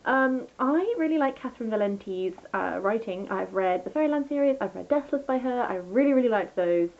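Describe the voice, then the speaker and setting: A woman's voice: mid-range voice, a little mellow, highs cut off. A woman chatting casually through a book review as a vlogger, rambling and enthusiastic, often interrupting herself.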